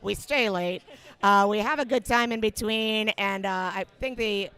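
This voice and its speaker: A woman commentating a tournament livestream, slightly hoarse today, explaining strategy in a relaxed way with many fillers. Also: mid-range voice, measured pace, faint music behind. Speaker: match commentator. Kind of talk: casual sports commentary.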